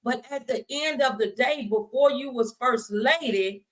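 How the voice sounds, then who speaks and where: A woman's voice: a little high, a little slow. A woman hosting a faith talk show, speaking conversationally in a folksy, preacherly manner.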